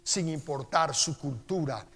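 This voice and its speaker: A middle-aged man with a Costa Rican accent preaching a sermon as pastor. He reads Scripture slowly and with emphasis, then turns quieter as he tells personal stories. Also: on the high side, lively intonation, breathy edge. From Costa Rica. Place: church sanctuary. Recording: long church-hall reverb.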